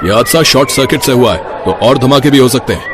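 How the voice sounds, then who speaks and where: A man's voice: mid-range voice, fairly quick, crowd noise, close to an everyday voice. A man voicing a firm, reassuring police officer in an audio story, with an authoritative manner. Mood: urgent, firm.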